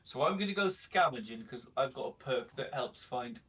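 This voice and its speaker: A man's voice: medium pitch, animated, normal speed, a bit bright, husky. A man chatting casually as a player in a tabletop game. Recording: slightly distant from the mic.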